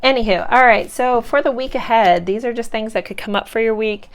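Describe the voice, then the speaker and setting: A woman's voice: a little high, fairly quick. A woman giving a casual pick-a-card tarot reading, chatty and reassuring, her voice congested and cracking from sinus trouble. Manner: chatty and reassuring, loose with many fillers. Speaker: tarot reader.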